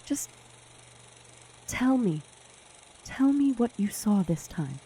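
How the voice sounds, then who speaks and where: A woman's voice: a little high, very animated, slow pace, dark tone, a little hiss or hum. A woman playing a loyal, insistent young friend in an audio drama, speaking in a pleading, supportive way.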